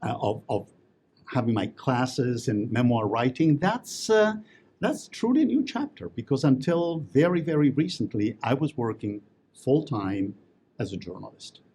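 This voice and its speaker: A man's voice: mid-range voice, animated, measured pace, dark tone, slight background noise. A man talking as an interview guest, telling stories in a chatty way with many fillers and restarts. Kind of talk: casual interview conversation.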